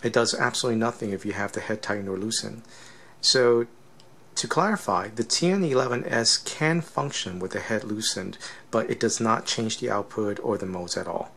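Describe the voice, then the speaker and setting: A man's voice: on the low side, normal speed, vocal fry, fairly bright tone. A man giving a casual, unscripted technical demonstration as a product reviewer, explaining step by step and often checking in with a questioning tag.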